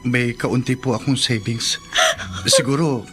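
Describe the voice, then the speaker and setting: Man acting kind young Filipino man, medium pitch, polite, reassuring, radio drama, music clearly behind. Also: a little slow, fairly bright tone, close to an everyday voice. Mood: earnest, reassuring. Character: kind, respectful, generous young working man.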